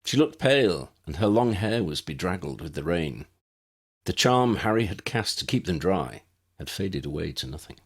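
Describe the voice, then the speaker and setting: A man's voice: low voice, big pitch swings, normal speed, slightly rough. A man reading scripted fiction aloud as an audiobook narrator.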